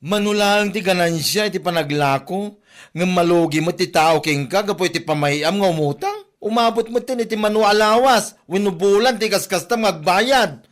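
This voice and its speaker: A man acting a feminine, sharp-tongued store owner with a Filipino accent, nagging a debtor sarcastically in a comedy drama. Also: high voice, normal speed, close to an everyday voice. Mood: indignant. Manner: sarcastic, nagging, emphatic scolding.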